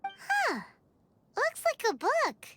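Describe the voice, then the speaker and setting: A woman voicing a tiny, excitable, childlike fairy companion in a video game, chatty and squeaky. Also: drawn-out words, background noise, full character voice. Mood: excited. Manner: excitable, chatty, refers to herself in third person.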